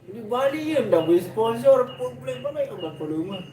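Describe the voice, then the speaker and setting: High-voiced man in a roomy, chattering space. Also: very animated, a little fast, warm tone. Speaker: companion on the livestream. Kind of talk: casual banter. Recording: roomy, distant group chatter behind.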